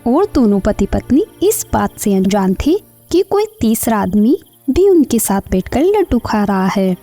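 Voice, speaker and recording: A woman narrates a scripted story for children. Her mid-range voice is mellow and moves expressively up and down. Faint music plays behind her. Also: medium pitch, big pitch swings, unhurried.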